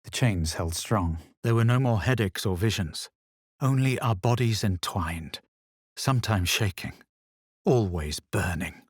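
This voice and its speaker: An actor playing a self-assured, haughty fantasy adventurer in a video game, husky and dramatic in delivery. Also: male voice, fairly low pitch, animated, no room sound, clean studio sound. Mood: grave, measured. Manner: measured, dramatic, superior. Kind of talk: scripted game character lines, first-person narration and spell incantations.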